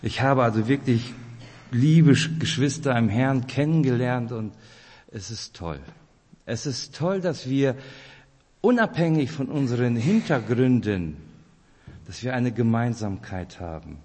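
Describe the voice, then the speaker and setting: A man's voice: mid-range voice, lively intonation, measured pace, darkish tone. A man in his forties from Kazakhstan with a Russian-German accent and a rolled R, giving a friendly, chatty informal sermon as a lay preacher. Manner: friendly, chatty, addresses listeners directly. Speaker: lay preacher at a church weekend. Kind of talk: informal sermon with personal introduction. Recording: reverberant hall.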